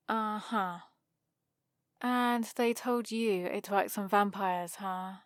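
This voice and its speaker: A woman's voice: on the high side, dry recording. A woman playing a haughty, sarcastic centuries-old vampire librarian in a scripted ASMR roleplay, with a dry, theatrical delivery.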